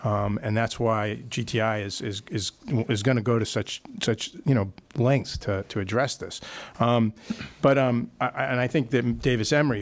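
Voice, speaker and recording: A middle-aged man making a point at a town council meeting through a desk microphone, halting often and restarting his sentences. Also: medium pitch, creaky, no room sound. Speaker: company representative. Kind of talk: public meeting remarks.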